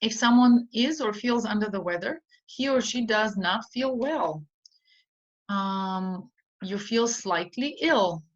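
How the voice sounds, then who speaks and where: A woman's voice: mid-range voice, lively intonation, takes it slowly. A woman teaching an English idiom in an online lesson, speaking patiently with simple explanations and frequent ums. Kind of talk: slow online vocabulary lesson. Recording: dull lo-fi recording.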